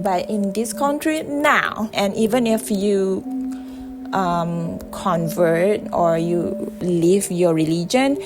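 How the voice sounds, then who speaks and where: Blunt Malaysian woman vlogging over music. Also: fairly low pitch. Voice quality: blunt, profane, emphatic. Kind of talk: casual direct-to-camera monologue.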